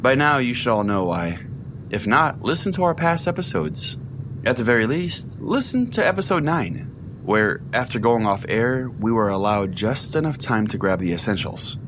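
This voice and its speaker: A man's voice: medium pitch, big pitch swings, normal speed, noisy background, close to an everyday voice. A man playing a self-important, sardonic conspiracy-preaching pastor who rambles chattily as host of an audio-drama show. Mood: smug storytelling. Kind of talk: scripted audio-drama monologue as a talk show.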